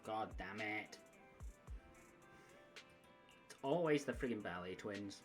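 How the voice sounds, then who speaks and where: Blunt, sweary game streamer, mid-pitched. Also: animated, slow pace, soft background music. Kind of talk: casual game stream commentary.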